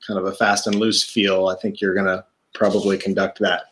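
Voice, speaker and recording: A man's voice: low voice, flat delivery, brisk, a bit bright. A man interviews an instructor for a comedy theater over a video call, rambling in a chatty way and joking as he goes. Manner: chatty, rambling, keeps making jokes. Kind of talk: casual interview.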